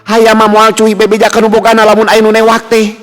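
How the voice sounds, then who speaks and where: Man putting on a blunt, squeaky-high village woman. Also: completely flat delivery, a little fast, fairly bright tone. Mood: blunt, dismissive. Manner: blunt, inquisitive chatter. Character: blunt, nosy, food-loving village woman Komala. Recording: long reverb, music bed.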